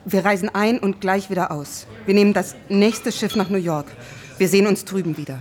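An actress playing a composed, determined wife in a radio drama, speaking in a measured, polite way. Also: female voice, medium pitch, darkish tone, lightly acted. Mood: resolute. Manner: measured, matter-of-fact, polite. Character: composed, determined wife smoothing things over for her husband.

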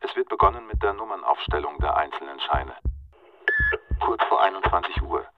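An actor playing an impersonal police official who reads a timed incident log in clipped, bureaucratic phrases for a radio drama. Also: male voice, low-pitched, lively intonation, moderate pace, soft background music. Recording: dull, distant, lo-fi sound.

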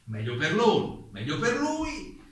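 A man's voice: high voice, wildly swooping pitch, a bit bright, heavily acted. A man playing an insistent, explanatory German playwright answering a committee in an echoey stage reenactment. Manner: emphatic, deliberate, repeats denials. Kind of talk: theatrical dialogue, hearing reenactment. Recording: very echoey room, distant mic, faint noise.

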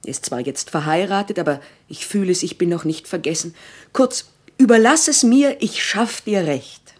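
An actress playing a devoted, anxious but determined wife in a radio drama, earnest and pleading in old-fashioned language. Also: female voice, lowish voice, animated, normal speed, breathy edge.

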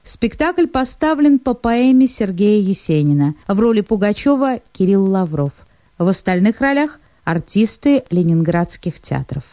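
A woman's voice: medium pitch, sing-song, a little slow, dark tone, no echo. A woman reading a scripted announcement as a radio announcer.

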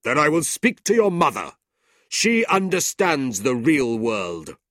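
A man's voice: on the high side, very animated, unhurried, a bit bright, no room sound, studio quality, voice pushed up, exaggerated voice. A man voicing a cold, scheming older uncle who speaks sternly and scornfully, in a storybook reading.

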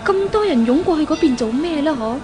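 A woman's voice: high-pitched, expressive, fairly quick. An actress in a 1970s radio drama playing a guilt-ridden, world-weary young woman with a melodramatic, sorrowful manner. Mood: doubtful, wondering. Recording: old radio drama, music behind voice.

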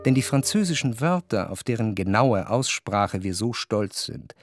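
An actor playing a reflective male narrator, delivering measured, introspective literary narration in a German radio play. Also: fairly low pitch, very animated, faint music behind. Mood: analytical, musing. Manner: measured, introspective, long flowing sentences. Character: reflective, jealous male narrator recalling his past.

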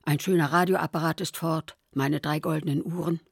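A woman's voice: deep voice, fairly even intonation, normal speed, creaky, noisy background. An anxious old mother. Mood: worried. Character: elderly mother, 77, anxious diarist. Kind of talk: reading diary entries.

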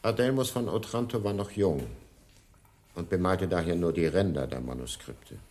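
An actor playing a gaunt, stern, aloof monastery librarian in a radio play, speaking in a solemn, measured, formal way. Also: male voice, low-pitched, a little mellow, natural voice. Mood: grave, guarded. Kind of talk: German radio play acting. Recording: faint noise, 1980s radio play.

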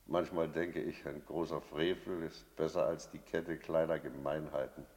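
An actor playing a cynical, brooding innkeeper in a German radio play, reflective and skeptical. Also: male voice, very deep voice, little pitch movement, moderate pace, darkish tone, husky, heavily acted. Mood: skeptical, challenging. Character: cynical male innkeeper, brooding first-person narrator.

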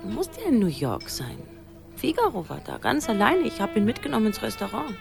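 A woman's voice: a little high, wildly swooping pitch, fairly quick, vocal fry, over music, heavily acted. An actress playing a weary, wry girlfriend leaving a phone message in a radio play. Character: weary, wry girlfriend in long-distance romance.

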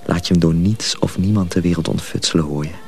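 An actor playing a grave, protective older journeyman in a Dutch radio drama, speaking in solemn, hushed tones. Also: deep voice, quick pace, gravelly, faint music behind. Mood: sorrowful, warning. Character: grave older journeyman mill hand, protective, sorrowful, secretive.

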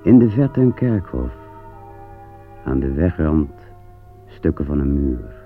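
Solemn radio-play narrator, pensive and unhurried, very low voice, music underneath. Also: male voice, sing-song, full character voice. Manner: grave, measured, pensive. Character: grave male narrator recalling a war front. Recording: tinny old radio play recording, music behind.

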